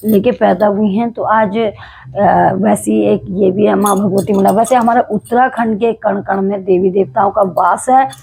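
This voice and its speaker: A devout woman from Uttarakhand tells a temple legend to her viewers, her voice slightly hoarse from days of singing. The tone is gravelly and husky, warm with devotion, and broken by many small hesitations as she explains. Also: medium pitch, fairly even intonation, normal speed. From Uttarakhand, India. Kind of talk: casual storytelling to camera.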